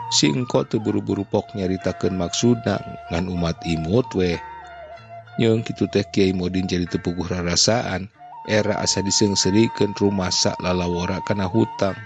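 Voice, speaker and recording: Low, gravelly male storyteller over music. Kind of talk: reading a classic folk tale aloud. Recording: music playing underneath.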